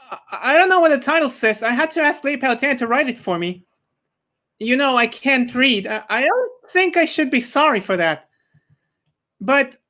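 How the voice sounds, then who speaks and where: Food-obsessed, childlike video-game hero played by a woman; high voice, melodramatic stammer, stubborn temper. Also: fairly bright tone.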